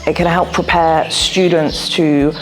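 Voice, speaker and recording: A woman in her thirties answering interview questions thoughtfully, often pausing with "um" and "you know," recorded on a lapel mic indoors. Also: low-pitched, fairly even intonation, faint music behind, low-quality recording.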